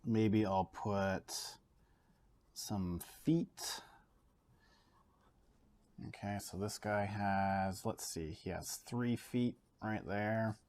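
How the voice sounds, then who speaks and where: A relaxed Canadian man with a fairly low voice talks a viewer through a drawing, pausing with easy fillers as he works. He sounds like he is demonstrating at a table in his home studio. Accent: Canadian English. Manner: relaxed, thinks aloud with fillers while drawing. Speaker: art educator. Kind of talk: casual art demonstration.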